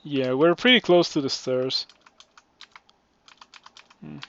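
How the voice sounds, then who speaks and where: Mexican man with a gravelly voice. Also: a little high, lively intonation, fairly bright tone, lo-fi sound. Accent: Mexican Spanish. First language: Spanish. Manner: measured, filler-heavy thinking aloud. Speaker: game streamer. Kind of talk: live gameplay commentary.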